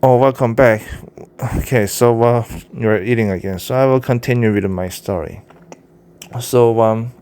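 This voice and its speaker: A man's voice: fairly low pitch, gravelly, a little mellow, a little hiss or hum. Man, Korean accent, English as a second language, rambling, candid, casual vlog chat, fillers and restarts. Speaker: vlogger and self-published writer. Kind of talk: casual monologue to his cat.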